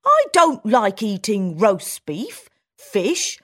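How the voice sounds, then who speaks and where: A woman voicing a homely female country mouse who talks slowly and insistently in a storybook reading. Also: medium pitch, wildly swooping pitch, heavily acted. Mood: upset. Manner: slow, insistent, loud complaint.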